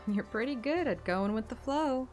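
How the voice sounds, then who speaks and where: Expressive young woman hunter over music, acted. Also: a little high, normal speed, lightly acted. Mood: playful, teasing. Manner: expressive, teasing. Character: playful, plucky young woman hunter on her first mission. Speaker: player-character narrator. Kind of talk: first-person audiobook-like game story narration and dialogue. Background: background music.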